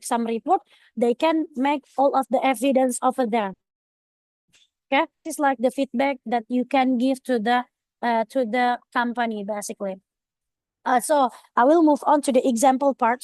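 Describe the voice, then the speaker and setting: A woman's voice: a little high, darkish tone, no room sound. A young woman from Indonesia with an Indonesian accent, giving an online orientation presentation with many fillers and restarts. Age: young adult.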